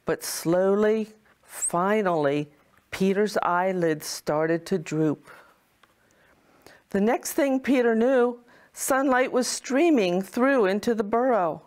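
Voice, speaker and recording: A woman tells a children's story as a kindly, motherly Mrs. Claus. Her pace is very slow and deliberate, with a medium-pitched voice and expressive phrasing suited to little listeners.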